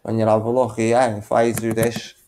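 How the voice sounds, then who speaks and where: A man's voice: lowish voice, completely flat delivery, normal speed, warm tone, slight background noise. Rambling man thinking aloud. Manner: rambling, thinking aloud, muttering little filler tunes. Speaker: video maker and narrator. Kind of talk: casual rambling screen-share commentary.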